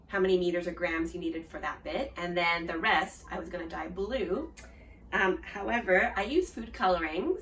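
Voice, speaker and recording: A woman in her forties with an Australian accent hosts a knitting podcast, chatting casually with lots of ums and restarts, her voice a little hoarse from a cold. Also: mid-range voice, far from the mic. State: slightly hoarse, recovering from a cold. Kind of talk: casual show-and-tell chat.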